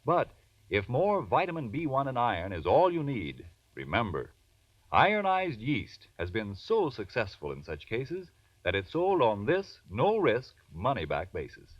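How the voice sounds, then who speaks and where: A man's voice: mid-range voice, huge pitch swings, unhurried, no room sound. An American man announcing and selling in polished, emphatic 1940s radio style. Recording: dull 1940s radio recording.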